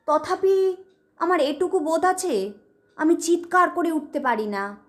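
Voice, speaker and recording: A woman reading a story aloud in a dramatic storytelling style. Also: sits high. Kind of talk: dramatic audio story narration.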